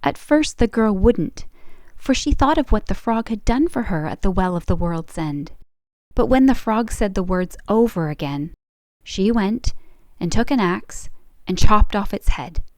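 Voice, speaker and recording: A woman's voice: mid-range voice, lively intonation, a little slow, no echo. A woman reading a scripted folk tale as a storyteller, in storybook narration style. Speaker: storyteller, channel host.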